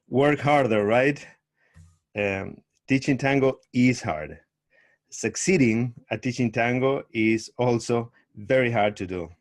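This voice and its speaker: A middle-aged man from Argentina, a tango teacher speaking over a video call in a measured, thoughtful way with frequent pauses. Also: fairly low pitch. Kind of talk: online summit interview, presenting.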